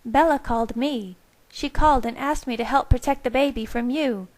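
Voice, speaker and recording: A woman's voice: high-pitched, moderate pace, a little mellow, voice pushed up, natural voice. A woman voicing a fierce, protective young woman who snaps and spits her words in defiance. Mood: defiant. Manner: spitting, snapping defiance.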